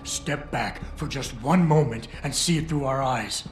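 A man's voice: mid-range voice, measured pace, lightly acted. Man playing stern game hero over music. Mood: accusing. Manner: terse, intense, accusing. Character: stern, indignant male action hero confronting a villain. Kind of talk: video game cutscene acting. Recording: roomy game audio with clear music.

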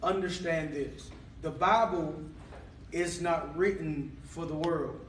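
A man preaching a sermon in a church hall, calling for responses and rising to shouts, recorded by a far-off camera. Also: a little high, steady tone, slow, a little hiss or hum. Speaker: pastor.

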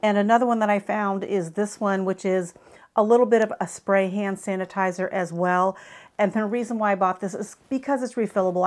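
A woman in her fifties with an American accent, chatting and explaining as she reviews travel products to camera. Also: medium pitch. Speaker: travel gear vlogger. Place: small furnished living room, indoors.

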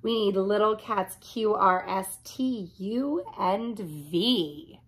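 A woman's voice: medium pitch, slow, noisy background. A woman voicing small, plucky storybook cats who are eager and emphatic helpers. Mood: earnest. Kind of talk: storybook character reading.

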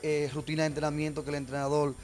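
Earnest young trainer, higher pitched. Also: male voice, flat delivery, racing, darkish tone, soft background music. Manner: earnest, explanatory, with frequent hesitations. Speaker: gym trainer. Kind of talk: studio TV interview. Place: TV studio set. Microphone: handheld mic.

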